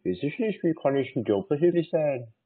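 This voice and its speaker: A man's voice: a little high, expressive, brisk, slightly rough. A man, a native German speaker, chatting casually on a call with playful, feigned naivety. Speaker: scambaiter taking calls. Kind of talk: casual phone chat. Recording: muffled narrow phone-like sound.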